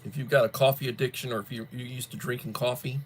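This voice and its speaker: A man hosting a casual livestream, chatting to viewers while still stuffed up and coughing from the flu, in a rambling, drawn-out way. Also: medium pitch, steady tone, husky. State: congested, coughing after flu. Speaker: livestream host. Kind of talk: casual chat greeting viewers.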